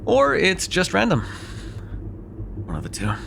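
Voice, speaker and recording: A man's voice: sits high, huge pitch swings, measured pace, creaky, a bit bright, a little hiss or hum, full character voice. A man playing a sardonic, grudgingly apologetic vampire in an immersive roleplay, talking in a wry, hesitant, conversational way with some swearing. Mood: brooding, wry. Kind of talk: immersive ASMR roleplay acting, one side of a dialogue.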